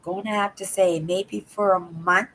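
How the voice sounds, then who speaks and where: An older woman from Canada hosting a livestream, chatting casually with viewers in a hoarse voice, rambling and tagging "right?" onto her sentences. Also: medium pitch, fairly even intonation, measured pace, fairly bright tone. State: hoarse from a sore throat. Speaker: livestream host. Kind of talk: casual live chat to viewers.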